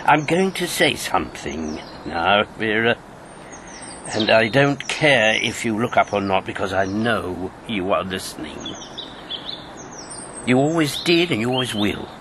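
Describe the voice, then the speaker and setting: Animated, rambling British man in his fifties. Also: lowish voice, measured pace. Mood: earnest, insistent. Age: 50s. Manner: rambling, sardonic, conversational. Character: talkative, needling fifty-year-old male ex-wartime agent. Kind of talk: radio drama acting. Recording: clear background noise with birdsong.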